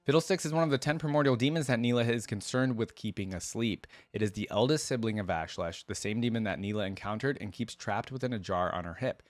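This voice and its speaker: A man's voice: medium pitch, no room sound. A man hosting a reaction video, reading lore aloud and breaking off into casual, conversational musing.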